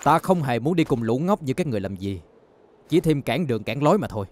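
A man playing a gruff, aloof young dinosaur hunter in a Vietnamese cartoon dub, curt and scornful. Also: mid-range voice, animated, quick pace, a little mellow, natural voice. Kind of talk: Vietnamese-dubbed cartoon acting.

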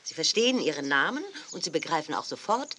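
A woman's voice: sits low, big pitch swings, brisk, bright, forceful tone, slight background noise, full character voice. An actress playing a kindly, instructive woman dog breeder in a 1980s children's audio play, explaining things expressively.

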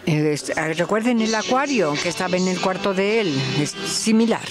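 A woman interpreting a lecture live, in a halting way with repeated and corrected phrases. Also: on the low side, animated, over a noisy crowd. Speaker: simultaneous interpreter.

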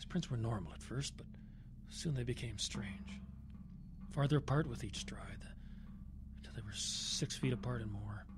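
A man's voice: medium pitch, music in the background, lightly acted. Actor as a haunted woodsman telling a horror tale. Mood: dread, awe. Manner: halting, dramatic, with swelling emphasis. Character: haunted male woodsman survivor recounting a terror. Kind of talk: dramatic first-person horror storytelling, audio drama.

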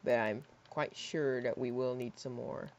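A hoarse young man in his teens rambling casually while playing a building game, with lots of fillers and soft mutters. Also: medium pitch, fairly even intonation, unhurried. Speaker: gaming YouTuber. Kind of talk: casual gameplay commentary. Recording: slightly dull lo-fi mic.

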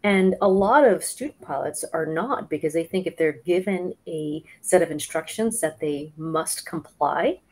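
A woman's voice: low voice, animated, measured pace, hardly any pauses, darkish tone. A middle-aged woman on a video call, explaining and advising conversationally as a panelist. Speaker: panelist giving advice. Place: home office, on a video call.

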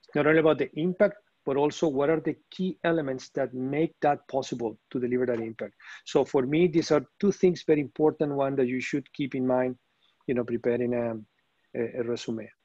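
A man's voice: medium pitch, a little fast, warm tone, no room sound. Hesitant man from Venezuela on a call. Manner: hesitant, many fillers and restarts. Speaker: panelist, operations executive. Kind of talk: webinar panel answer. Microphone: video call. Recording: muffled video call audio.

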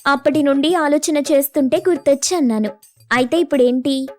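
A woman's voice: sits high, fairly quick, a little mellow, soft background music, natural voice. A woman voicing a reluctant, whiny, evasive wife sparrow in a children's cartoon. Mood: stubborn, put-upon. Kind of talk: children's cartoon story dialogue.

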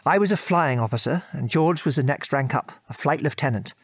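A man's voice: on the high side, a little fast, husky, no echo. Man acting british dad, ex wartime airman telling his kid stories, old-fashioned phrases, lightish voice, close dry recording. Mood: wry reminiscing. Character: affectionate father, former wartime RAF officer, reminiscing. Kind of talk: audio drama storytelling.

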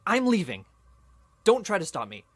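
Man playing a fed-up student, lively pitch. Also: slow pace, background noise, heavily acted. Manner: exasperated, insistent. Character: fed-up, fearful young male university student. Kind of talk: sci-fi audio drama acting.

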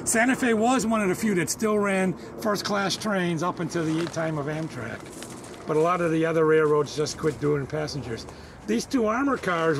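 A middle-aged man guiding visitors through an outdoor rail yard, explaining old railcars in a knowledgeable, matter-of-fact way. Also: high-pitched. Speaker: museum tour guide. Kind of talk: explaining railcars on a walking tour. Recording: outdoor noise.

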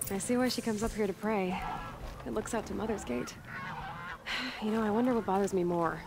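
A woman's voice: medium pitch, a little fast, natural voice. A woman playing a young outcast huntress in a video game, natural and reflective, with dry sarcasm. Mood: musing. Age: young adult. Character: young outcast huntress, resilient, wry, lonely. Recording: loud game music behind. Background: game music.

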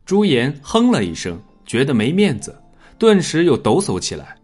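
Expressive male audiobook narrator, husky, over music. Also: mid-range voice. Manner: measured, expressive storytelling. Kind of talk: scripted dramatic story narration.

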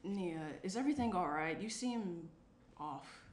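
A woman's voice: fairly low pitch, a little slow. A young woman playing a frank, playful and caring best friend in a naturalistic screenplay table read. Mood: concerned. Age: young adult. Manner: animated, candid, chatty. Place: small indoor room around a table. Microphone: shared tabletop microphone. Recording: roomy tabletop pickup, faint noise.

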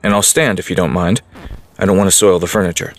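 An actor playing an earnest, awkward but stubborn young farm-bred superhero in an audio drama, speaking in a terse, stiff way. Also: male voice, low-pitched, steady tone, fast talker, faint music behind, lightly acted. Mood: uneasy, guarded.

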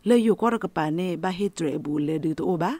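Female newsreader, slightly low pitch, scripted radio bulletin, dry recording. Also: quick pace, dark tone, no echo. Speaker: radio news presenter. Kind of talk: scripted news reading.

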